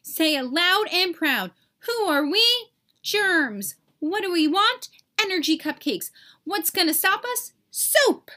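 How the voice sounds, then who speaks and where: A woman voicing an excitable, easily alarmed cartoon germ in an exclamatory, melodramatic storybook style. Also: extremely high pitch, slow, voice pushed up, full character voice. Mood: rallying.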